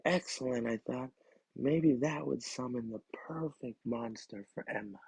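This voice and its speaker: Slow, gravelly man reading a storybook. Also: mid-range voice, darkish tone, a little hiss or hum, poor audio. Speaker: children's story reader. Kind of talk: expressive storybook narration, first person.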